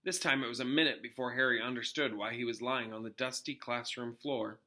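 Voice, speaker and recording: A man, a teacher, reading a novel aloud as expressive storybook narration. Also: mid-range voice, brisk.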